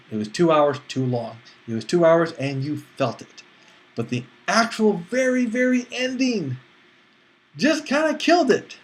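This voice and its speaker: A man giving a casual spoken film review as a movie critic, talking conversationally and acting out his imagined reactions. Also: sits high.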